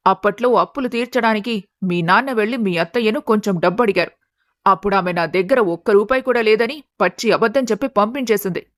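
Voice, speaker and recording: A woman's voice: mid-range voice, fast, no room sound, lightly acted. A woman voicing a blunt, indignant middle-aged wife and mother in a family story. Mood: resentful.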